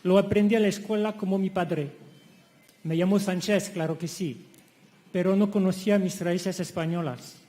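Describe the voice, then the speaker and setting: A middle-aged man with a French accent, a native French speaker, giving an academic conference talk in a careful, measured reading voice in a lecture hall. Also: high-pitched, fairly even intonation, warm tone. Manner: measured, careful reading with occasional self-corrections. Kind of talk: academic lecture read from a text.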